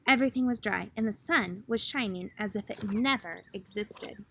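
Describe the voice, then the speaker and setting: A woman reading a picture book aloud to children in an expressive, dramatic way with pauses. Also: medium pitch, creaky, a little hiss or hum. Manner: expressive, asks listeners questions, dramatic pauses. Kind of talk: children's story read-aloud.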